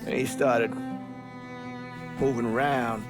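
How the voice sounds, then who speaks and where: An actor playing a stern, anguished Southern American priest, grave and preacherly, in a video game. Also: male voice, medium pitch, fairly even intonation, slow pace, music in the background, lightly acted. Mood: somber. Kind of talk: cinematic video game acting.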